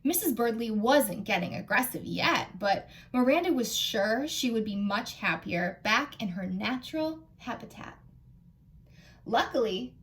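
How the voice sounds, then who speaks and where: Children's librarian, female, American. High-pitched, expressive storytime read-aloud, engaging young listeners directly. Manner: animated, asks listeners questions.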